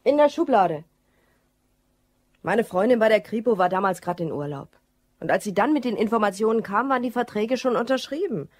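An actress playing a cool, suspicious, quietly menacing woman in a German crime radio drama, pointed and dry. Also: medium pitch, lively intonation, a little fast, no echo, close to an everyday voice. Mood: sardonic, pointed. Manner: pointed, dry, matter-of-fact.

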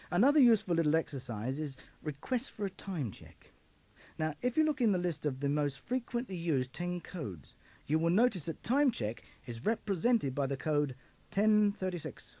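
A man narrates an instructional tape in a fairly high, very animated voice. His tone is soft-edged and mellow, and the recording is close and dry. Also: no echo. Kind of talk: scripted narration.

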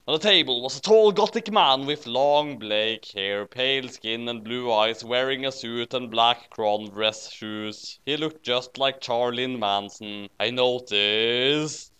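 A man's voice: medium pitch, fairly bright tone, clear, resonant tone. A man narrating a story aloud in a dramatic, mock-serious comic reading.

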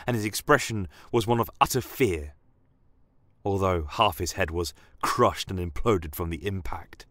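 A man playing a solemn, defiant horned army commander, telling a dramatic fantasy story in a grave, measured manner. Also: low-pitched, fairly bright tone, no echo. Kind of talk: dramatic first-person fantasy audio story.

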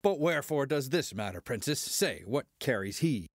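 An actor playing a cocky, boastful starship pilot, swaggering through archaic verse in an audio drama. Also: male voice, medium pitch, very animated, moderate pace, slightly rough, no echo, clean studio sound.

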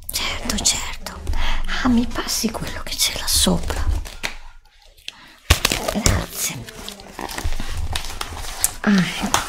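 A woman playing a curious, stubborn student in a library, muttering and chatting in a whispered ASMR roleplay. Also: mid-range voice. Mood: busy, pleased. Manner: muttering to herself, chatting to an unseen companion.

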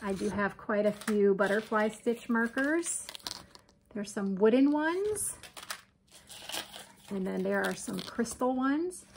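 Crafty lady in her 40s, slow relaxed chat with a bit of vocal fry, kitchen at home, faint hiss behind. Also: medium pitch. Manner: chatty, often addresses "you guys", upbeat. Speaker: crochet vlogger. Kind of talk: casual show-and-tell chat.

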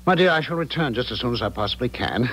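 An actor playing a smooth-talking, two-faced husband with genteel, formal phrasing in a 1970s radio drama. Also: male voice, medium pitch, lively intonation, fast talker, fairly bright tone, slight background noise, poor audio. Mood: reassuring. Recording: old radio drama, faint music behind.

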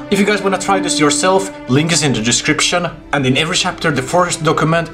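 A man in his twenties giving hyped live stream commentary, often repeating his phrases. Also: fairly high pitch. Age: 20s. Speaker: game streamer. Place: small home room with kitchen. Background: music.

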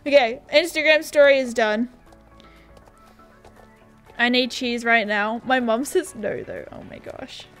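Young woman, game streamer on a headset, excitable and chatty, a touch higher pitched, soft game music behind. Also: slow pace, long pauses. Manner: chatty, reads viewer messages aloud, excitable. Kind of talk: casual livestream chat. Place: home room at a gaming setup.

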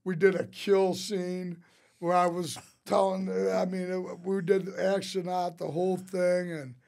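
A man tells casual, rambling anecdotes as a podcast guest, quoting people in an animated way. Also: sits high, a little slow, vocal fry. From St. Louis, United States. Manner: rambling, animated storyteller who quotes others. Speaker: interview guest, restaurateur. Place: small podcast studio. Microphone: close studio mic on a boom arm.

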